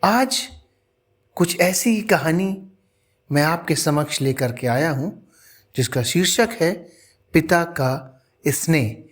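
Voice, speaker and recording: A man narrating a scripted moral story as a presenter, with deliberate, earnest, dramatic phrasing. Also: fairly high pitch.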